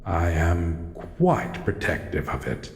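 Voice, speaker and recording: Casting: male actor as shadow creature, extremely low voice, formal and guarded yet courteous, audio roleplay, heavy echoing reverb. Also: big pitch swings, exaggerated voice. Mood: hesitant, protective. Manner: slow, formal, measured, calls listener human. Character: solitary male shadow monster, guarded but courteous, lonely. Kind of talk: scripted audio roleplay addressed to the listener. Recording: echoey, long reverb, faint noise.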